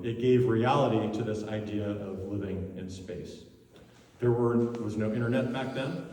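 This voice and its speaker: A man giving a personal talk in a halting way, with many fillers and restarts. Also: fairly low pitch, warm tone, a little hiss or hum. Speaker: speaker giving a presentation. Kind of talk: personal talk about faith and career. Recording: echoey hall from far off.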